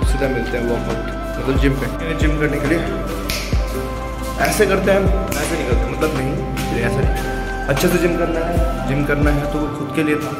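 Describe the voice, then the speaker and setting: Higher-pitched young Indian man vlogging over music. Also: normal speed. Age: young adult. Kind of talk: casual vlog chat with English words mixed in. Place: on the move in car, garage, home and gym. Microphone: phone held at arm's length.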